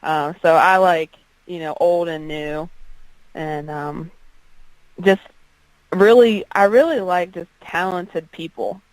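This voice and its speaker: A woman's voice: on the low side, slow, pauses often, no echo, poor audio. A woman singer-songwriter with an alto voice, chatting in an interview with frequent ums and restarts. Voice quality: strong alto voice. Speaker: country singer-songwriter guest. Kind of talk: casual interview chat.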